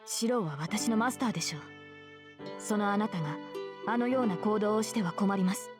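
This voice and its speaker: A Japanese woman voicing a calm, dignified young female knight in a visual novel, formal and polite, with music behind. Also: medium pitch, rapid-fire, natural voice. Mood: stern. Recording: prominent game music behind.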